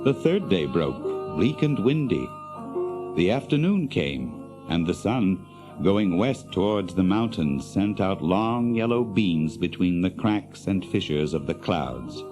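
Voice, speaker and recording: A man delivers scripted, dramatic story narration. His voice is low and moves expressively up and down. Prominent music plays behind him. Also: big pitch swings, slow pace.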